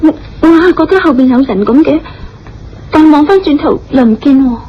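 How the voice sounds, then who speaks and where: High-voiced anxious young woman. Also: lively intonation, brisk, slight background noise. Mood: uneasy, anxious. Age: young adult. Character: anxious, polite young female skier confiding in a stranger. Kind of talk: radio drama acting. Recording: dull lo-fi radio drama, music behind.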